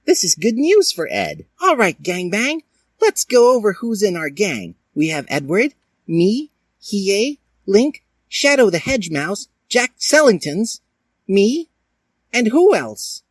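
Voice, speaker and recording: A man plays a high-strung, bossy young woman in a comic story reading. The voice is pitched far up and shrill, swooping as it exclaims, in a dry recording. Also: sing-song, takes it slowly, no room sound, heavily acted. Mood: eager.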